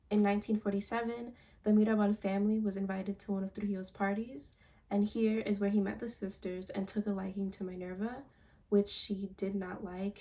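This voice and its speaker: A young woman giving a class presentation over slides, speaking casually with some halting and fillers. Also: medium pitch, mellow. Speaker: student presenter.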